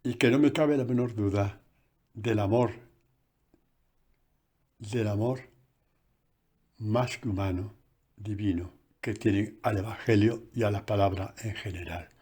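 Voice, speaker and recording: A man, a priest, giving a conversational catechesis sermon recorded on a phone, animated and full of asides. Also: on the low side, unhurried, pauses often, breathy edge.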